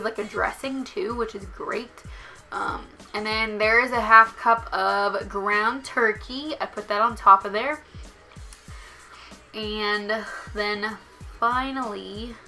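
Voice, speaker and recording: A woman's voice: medium pitch, vocal fry, pushed and bright. A young woman doing a casual voice-over about her meal prep at home, talking chattily with lots of likes and ums. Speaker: vlogger.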